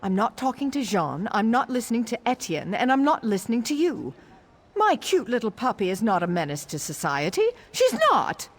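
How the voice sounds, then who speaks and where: A stubborn, defensive townswoman from a fantasy video game. Her voice is fairly high and very lively, huffy and defiant, with faint ambient noise. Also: moderate pace. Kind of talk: scripted fantasy game dialogue.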